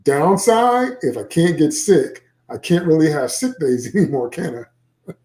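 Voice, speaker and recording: A man's voice: fairly high pitch, animated, a little slow, a little mellow, reverberant. A man voicing a smart-mouthed, geeky sixth-grade boy from a children's novel, chatting wryly through his rambling thoughts. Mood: musing, geeky. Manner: chatty, wry, rambling inner monologue. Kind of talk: first-person children's novel read aloud.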